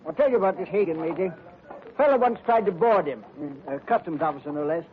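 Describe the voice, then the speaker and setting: A salty old sea dog played by a man with a high voice, folksy and full of nautical slang. He sounds like a character from a 1940s radio play, on a noisy old broadcast recording. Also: lively intonation, fast, phone-quality sound, close to an everyday voice. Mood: darkly amused. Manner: folksy sailor talk, calls everyone matey. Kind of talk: 1940s radio drama acting.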